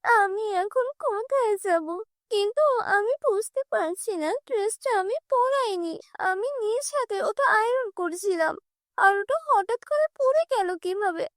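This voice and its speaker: A humble young cartoon heroine, played by a woman, with a very high voice and a dry, close sound. She speaks earnestly and pleadingly, like a good-hearted girl who is put upon. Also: lively intonation, a little slow, no echo. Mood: distressed. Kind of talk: children's fairy-tale cartoon dub.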